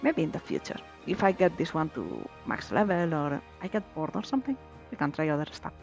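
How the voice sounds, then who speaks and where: A woman's voice: deep voice, sing-song, fairly quick, vocal fry, a little mellow. Chatty woman streamer, Catalan native. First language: Valencian/Catalan. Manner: chatty, laughs easily, reads game text aloud. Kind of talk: casual chat while gaming. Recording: slightly dull lo-fi stream audio. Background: faint game music.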